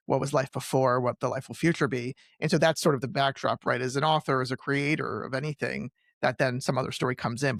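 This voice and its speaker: A man's voice: mid-range voice, fairly bright tone, dry recording, studio quality. A man hosting a podcast interview in a casual, talkative way, often rephrasing his own points. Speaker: podcast host.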